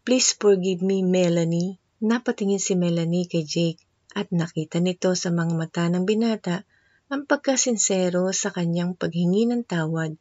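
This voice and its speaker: A woman's voice: on the low side, moderate pace, warm tone, no echo, dull audio. A woman storyteller reading a scripted romance narration.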